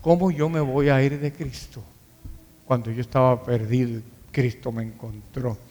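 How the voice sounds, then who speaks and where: A man's voice: mid-range voice, steady tone, moderate pace, a little mellow. A man with a Dominican accent preaching as a pastor, emphatic yet conversational, repeating phrases for emphasis. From Dominican Republic. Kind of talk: sermon. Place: large reverberant room, church service. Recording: hall reverb.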